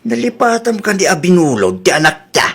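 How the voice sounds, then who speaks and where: A man's voice: high-pitched, sing-song, brisk, gravelly, fairly bright tone, husky, exaggerated voice. An Ilocano-accented male voice as a man acts out an older villager. He is plain-spoken, in the style of a homely comedy drama. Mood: matter-of-fact.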